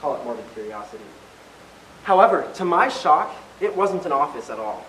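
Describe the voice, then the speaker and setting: A man's voice: a little high, big pitch swings, brisk, a bit bright. A young man playing an accusatory, theatrical character in an amateur one-act stage play. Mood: smug, probing. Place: indoor stage, recorded from a distance. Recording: echoey stage, far mic, faint hiss.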